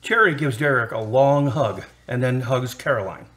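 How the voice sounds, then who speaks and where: A man's voice: medium pitch, moderate pace, fairly bright tone. A man reading scripted narration for a radio drama as its narrator. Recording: music under the narration. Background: music.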